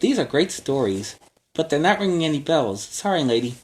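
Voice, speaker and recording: A man's voice: fairly high pitch, very animated, moderate pace. A man voicing a confused, earnest superhero archer who has lost his memory, in a storybook reading. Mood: puzzled. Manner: bewildered, questioning.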